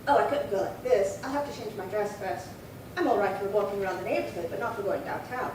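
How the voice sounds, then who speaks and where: A woman's voice: medium pitch, fast, close to an everyday voice. A woman acting a prim, chatty, indignant old lady in her late seventies in a 1950s-style radio crime drama, fussy and rambling. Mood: prim, flustered. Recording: very echoey, distant, noisy room.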